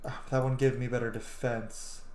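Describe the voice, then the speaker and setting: A man's voice: medium pitch, level tone, normal speed, a little mellow. A man giving casual, blunt, sweary commentary as he plays a video game. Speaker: gamer, video host. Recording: roomy sound with game music behind. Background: video game music.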